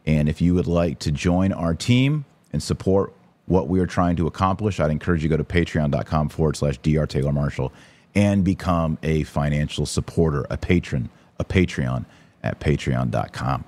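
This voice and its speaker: A man's voice: very deep voice, moderate pace, a little mellow. A man hosting a podcast in a casual, blunt, conversational livestream style, talking directly to his viewers. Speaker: podcast host and author. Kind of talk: casual livestream commentary, reading viewer comments.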